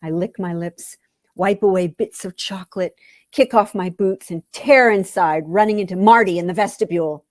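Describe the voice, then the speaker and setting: A woman's voice: medium pitch, normal speed, darkish tone, dry recording, clean studio sound. A woman reading a first-person story theatrically and expressively, at times dropping toward a whisper. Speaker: author reading own memoir story.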